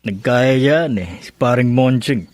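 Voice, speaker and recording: An actor playing a sheepish, placating Filipino husband with a hesitant delivery in a radio drama. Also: male voice, medium pitch, slow, darkish tone, natural voice. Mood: apologetic, evasive.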